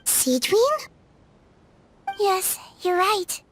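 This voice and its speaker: Very high-pitched, gentle young nurse. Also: female voice, sing-song, very slow, music in the background, full character voice. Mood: calm, assured. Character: small gentle composed young female nurse, non-human in human form. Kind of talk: English video game voice acting.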